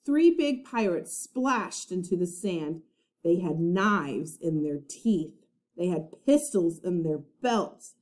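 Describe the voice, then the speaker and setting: A woman reading a children's storybook aloud like a teacher, with expressive, dramatic narration. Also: on the low side, slow pace.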